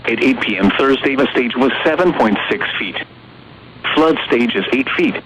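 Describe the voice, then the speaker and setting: A computer-generated male voice reading an automated weather broadcast in a flat, evenly paced way with odd stresses. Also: medium pitch, moderate pace. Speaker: automated weather radio announcer. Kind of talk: text-to-speech weather broadcast. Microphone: radio receiver recording. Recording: dull radio broadcast with clear noise.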